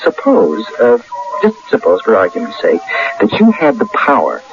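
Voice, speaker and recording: Folksy, creaky-voiced barroom pal. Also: male voice, lowish voice, huge pitch swings, normal speed, heavily acted. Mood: puzzled, curious. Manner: folksy, hesitant, rambling. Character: tipsy, friendly, curious barroom drinking pal. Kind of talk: old-time radio drama acting. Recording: dull lo-fi radio recording with music.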